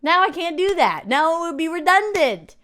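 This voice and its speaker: A woman's voice: extremely high pitch, sing-song, normal speed, a bit bright. A woman hosting a reaction video, chatting in a bubbly, rambling, playful way. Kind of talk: casual chat.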